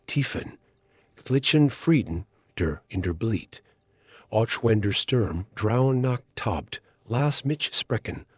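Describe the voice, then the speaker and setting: Slow breathy man, gentle devotional voice. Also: on the low side, no echo, studio quality. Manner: soft, consoling, unhurried. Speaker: devotional speaker voicing God. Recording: faint music underneath.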